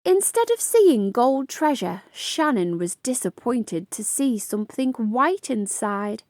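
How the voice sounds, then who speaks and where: Slow, higher-pitched woman reading a storybook. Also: sing-song, a little mellow, no echo, clean studio sound. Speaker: storyteller reading a children's picture book. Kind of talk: scripted read-aloud storytelling for young children.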